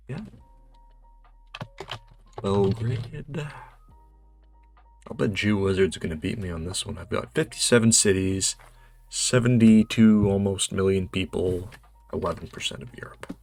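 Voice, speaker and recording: A man's voice: sits low, measured pace, vocal fry, pauses often, a little mellow. A North American man playing a geography quiz, thinking aloud casually and muttering questions to himself hesitantly, with music and typing behind him. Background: music, computer keyboard typing.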